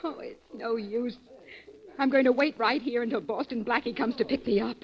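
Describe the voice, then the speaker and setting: A woman's voice: on the high side, moderate pace, muffled recording, over loud music, natural voice. An actress playing a nervous, snappish young woman who is being stalked, in old-time radio drama style. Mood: nervous, irritable. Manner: nervous, agitated, urgent.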